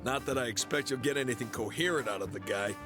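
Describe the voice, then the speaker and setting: A man's voice: mid-range voice, quick pace, lightly acted. Man acting a curt police guard over music. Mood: official. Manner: curt, official. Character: brusque, by-the-book adult police guard. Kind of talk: English video game dub acting. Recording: game music behind the voice.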